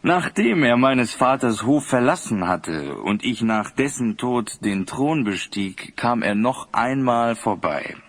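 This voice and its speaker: A man's voice: medium pitch, expressive, slow pace, few pauses. An actor playing a remorseful ruler turned old man, confessing solemnly in formal words in a fantasy audio play. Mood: reflective, sombre.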